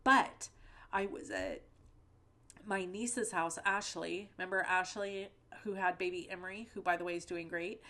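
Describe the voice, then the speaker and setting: A woman's voice: on the low side, steady tone, a little slow, pushed and bright. A middle-aged woman hosting a card-making video, talking in a rambling, conversational way with lots of fillers and asides, her voice a little stuffy from a lingering cold. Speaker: card-making channel host. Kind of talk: chatty crafting tutorial voice-over with personal stories. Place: at home.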